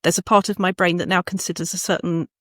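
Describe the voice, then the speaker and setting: A woman's voice: on the low side, steady tone, quick pace, no room sound, clean studio sound. A woman hosting a conversational podcast interview, reflective and repeating words for emphasis. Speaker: podcast host. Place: indoor room with books behind, video call.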